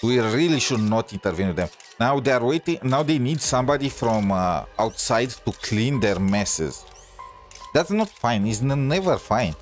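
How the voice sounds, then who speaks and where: A man's voice: mid-range voice, very animated, moderate pace, faint music behind. A man giving casual live game commentary as a streamer, blunt and rambling.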